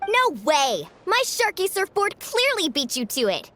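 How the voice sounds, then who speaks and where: High-voiced, spirited young contestant. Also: female voice, wildly swooping pitch, measured pace, sharp tone, exaggerated voice. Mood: fired up. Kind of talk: anime game voice acting.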